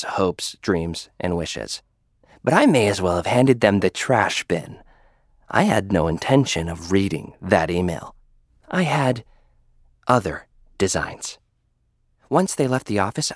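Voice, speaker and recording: A low-pitched man tells a science-fiction story in the first person, his delivery dry and sardonic with an arrogant edge. The studio sound is close and dry, with no room around the voice. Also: no echo. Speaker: narrator and lead character. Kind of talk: scripted first-person audio drama narration.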